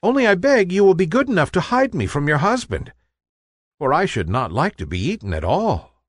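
A man's voice: high voice, big pitch swings, moderate pace, dry recording, clean studio sound, pitched above the performer's own voice. A young storybook boy, played by a man, frightened yet trying to be brave. He is courteous, earnest and pleading, with very varied intonation. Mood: anxious, polite. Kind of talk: storybook character voice.

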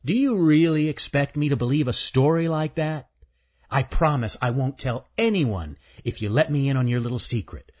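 A man's voice: mid-range voice, expressive, normal speed, darkish tone, pitched above the performer's own voice, lightly acted. A man voicing a cocky male chipmunk who teases and wheedles in a children's story. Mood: pushy, needling. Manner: teasing, pushy.

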